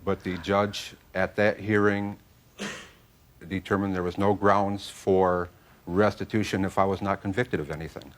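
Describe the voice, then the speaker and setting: Deep-voiced man testifying over background noise. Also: fairly even intonation, measured pace. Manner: formal, deferential yet insistent. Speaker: litigant, defendant in a civil case. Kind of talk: answering a judge's questions. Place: indoor courtroom set.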